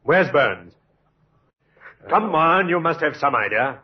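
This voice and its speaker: A man's voice: fairly high pitch, expressive, slow. A male actor playing a firm, methodical police detective in an old radio drama. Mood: pressing, businesslike. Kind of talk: 1950s radio drama acting. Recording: dull old radio recording.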